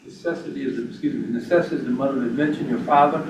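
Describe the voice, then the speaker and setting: A man on a panel who speaks in a loud, emphatic, repetitive way. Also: mid-range voice, rapid-fire, creaky, recorded from a distance. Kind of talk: panel discussion. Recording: dull echoey hall mic with room noise.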